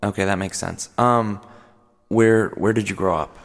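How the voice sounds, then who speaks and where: A man's voice: lowish voice, fairly even intonation, a little slow, long reverb tail. A man playing an awkward, apologetic podcast interviewer, stammering politely through an improvised comedy radio play. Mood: awkward, probing. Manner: hesitant, stammering, politely probing. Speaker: host interviewer.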